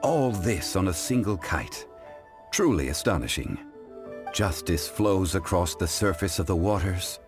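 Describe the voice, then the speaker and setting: A composed, learned gentleman from a video game. His voice is very low, formal and measured, yet richly inflected as he speaks with scholarly poise over music. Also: lively intonation. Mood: admiring, solemn. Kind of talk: video game cutscene dialogue. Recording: music behind the voice.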